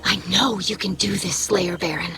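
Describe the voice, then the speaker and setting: A woman's voice: mid-range voice, fairly even intonation, normal speed, music in the background, lightly acted. A woman voicing a dignified, grave alien leader in a video game, giving a briefing in a formal, solemn way. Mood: solemn resolve.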